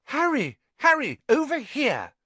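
A bossy, excited young witch at school, acted out by a male narrator. The voice is high and swooping. She chatters brightly and eagerly, as in a storybook. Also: moderate pace, breathy edge, dry recording, heavily acted. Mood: excited greeting.

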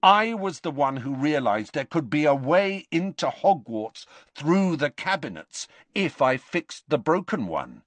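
Brief: man putting on a scared, defiant young wizard, tense and vehement, pitched up and pushy, dry close sound. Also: sing-song, measured pace, few pauses, no room sound. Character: defiant, frightened teenage boy wizard.

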